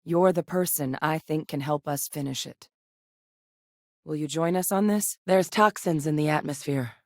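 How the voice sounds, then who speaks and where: An actress playing a composed, frank military scientist in a space video game, speaking in a measured, articulate way. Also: female voice, sits low, dry recording, clean studio sound. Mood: composed, serious. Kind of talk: acted video game dialogue.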